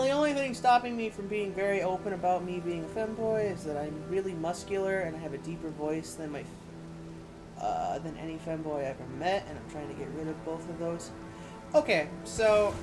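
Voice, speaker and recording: Live streamer, young adult, youthful voice, loose emphatic rambling with casual swearing, game music clearly behind. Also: mid-range voice, low-quality recording. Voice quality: youthful-sounding. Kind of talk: casual chat while gaming. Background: video game music.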